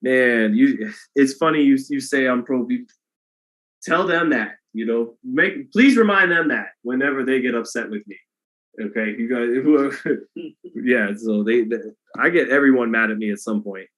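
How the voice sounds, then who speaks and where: A man hosting a boxing livestream in a loose, rambling way, talking to his chat with a flat, monotone delivery. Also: medium pitch, moderate pace, poor audio. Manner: monotone delivery, self-described; loose and rambling, many restarts. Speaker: boxing commentator and livestream host. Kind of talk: casual livestream chat, reading donations.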